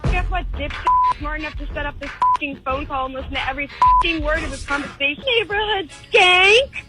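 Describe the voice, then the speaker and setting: A woman's voice: high-pitched, pushed and bright. An angry woman, confrontational and sarcastic, on a phone call to a radio show. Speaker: caller confronting on a radio segment.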